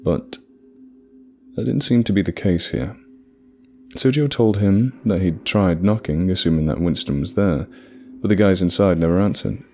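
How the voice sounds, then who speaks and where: Man, very deep voice, measured horror narration, faint background hiss. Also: a little mellow. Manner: low, measured storytelling delivery. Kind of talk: scripted horror story narration.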